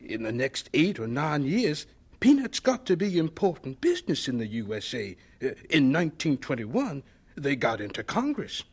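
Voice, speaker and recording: An actor playing a humble elderly American scientist in a radio drama, speaking mildly and hesitantly with a husky voice. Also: male voice, fairly high pitch, big pitch swings, darkish tone, heavily acted. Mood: gentle, reminiscing. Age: older. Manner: mild, hesitant, unhurried.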